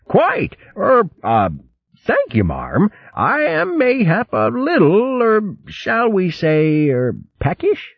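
A blustering, greedy old hare, with a high pompous voice broken by "er"s. His stammering, posh delivery sounds close and dry in a slightly muffled recording. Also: huge pitch swings, slow pace, no echo, full character voice. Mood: hesitant. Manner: stammering, pompous upper-class. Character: blustering old male hare, always hungry.

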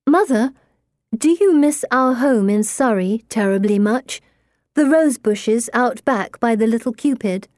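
A woman's voice: fairly high pitch, animated, unhurried, darkish tone, no echo, clean studio sound, voice pushed up. A woman voicing an anxious, earnest teenage girl in a storybook. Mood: pleading, testing.

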